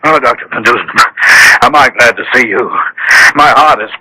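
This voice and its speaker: An actor playing an anxious, gullible man in a radio mystery, speaking in a halting, agitated way. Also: medium pitch, heavy background noise, lightly acted. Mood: shaken, remorseful. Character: gullible, anxious, fearful man, rueful and easily flustered.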